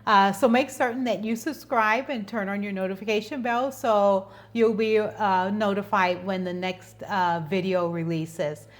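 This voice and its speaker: A woman's voice: medium pitch, fairly even intonation, measured pace, hardly any pauses. A woman in her fifties hosting an informal explainer vlog, talking conversationally and often repeating words as she goes. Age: 50s. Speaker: channel host and business owner.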